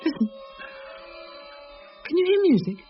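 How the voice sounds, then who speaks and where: A woman's voice: high voice, sing-song, unhurried, soft background music. An actress playing a sceptical, curious woman, a dinner guest in a radio drama. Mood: subdued, puzzled. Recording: dull, hissy old radio recording.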